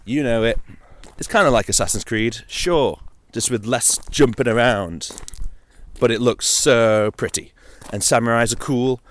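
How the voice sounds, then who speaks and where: A man's voice: medium pitch, measured pace. A man hosting a gaming audio log, chatting casually and informally on his own, with playful, wry asides. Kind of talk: casual solo chat about video games.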